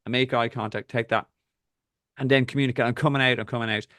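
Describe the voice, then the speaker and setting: A man's voice: medium pitch, steady tone, a bit bright, no room sound, studio quality. A man with an Irish accent, a triathlon coach hosting a casual talk and giving direct, practical advice. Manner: direct, instructive, rapid asides.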